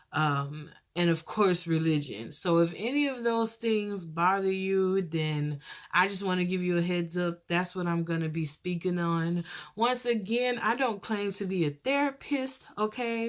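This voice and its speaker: Young woman, soft voice, lower pitch, rambling podcast host, gentle and earnest. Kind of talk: casual podcast monologue.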